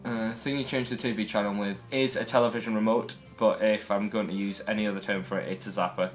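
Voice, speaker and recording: A man's voice: lowish voice, steady tone, fast talker, soft background music. A young man with a Newcastle accent, chatting wryly and rambling through his first video blog. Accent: Newcastle Geordie. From North East England. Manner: chatty, wry, rambling asides. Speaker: vlogger.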